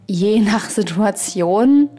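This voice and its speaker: A woman in a reflective podcast discussion, explaining tentatively and pausing to find her words. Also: mid-range voice, expressive, warm tone, faint music behind. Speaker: podcast co-host.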